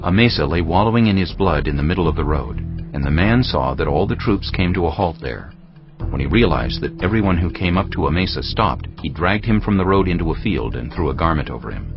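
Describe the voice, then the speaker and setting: A man reading scripted narration for a dramatized audio Bible. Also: extremely low pitch. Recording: dull band-limited audio with music bed.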